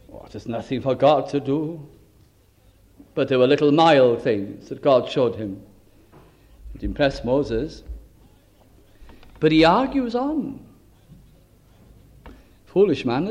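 A man's voice: mid-range voice, darkish tone. A man preaching a Bible-teaching sermon, reading old scripture aloud and then explaining it in plain, chatty asides.

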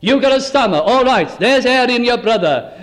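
A man's voice: very high-pitched, expressive, normal speed, a bit bright. A man preaching a Bible-teaching sermon, reading old scripture aloud and then explaining it in plain, chatty asides.

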